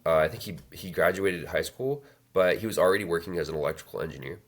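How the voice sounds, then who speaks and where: A man in his thirties telling his life story as an interview guest, halting with many fillers and restarts. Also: low voice. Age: 30s. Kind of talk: reflective personal storytelling.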